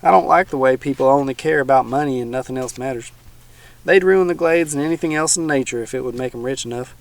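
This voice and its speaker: A man doing a gruff old swamp hermit. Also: mid-range voice, fast talker, no echo, close to an everyday voice. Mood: menacing. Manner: folksy backwoods drawl, growling.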